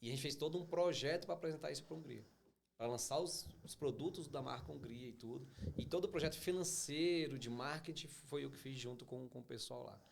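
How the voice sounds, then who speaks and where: Guest, male financial consultant, quick delivery, gravelly fry, explains with many restarts. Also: medium pitch, a little hiss or hum. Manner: fast, explanatory, frequent restarts. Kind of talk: casual interview chat.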